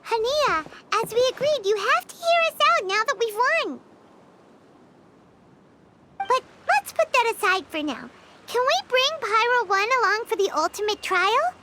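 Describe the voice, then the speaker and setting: A woman voicing a small, childlike fairy companion in a video game, squeaky, chirpy and earnest. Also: very animated, a little slow, sharp tone, slight background noise, exaggerated voice. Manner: earnest, refers to self in third person.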